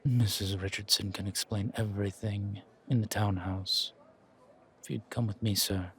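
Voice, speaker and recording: A man playing a nervously polite, dutiful low-ranking driver in an audio roleplay, speaking hesitantly and with formal deference. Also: steady tone, moderate pace, fairly bright tone. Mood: grave, apologetic.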